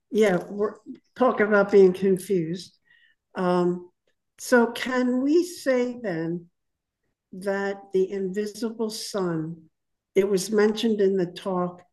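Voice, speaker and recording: A woman's voice: mid-range voice, darkish tone. A woman relaying questions for her group in a meeting hall, polite and hesitant, checking that she has understood. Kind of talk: group discussion.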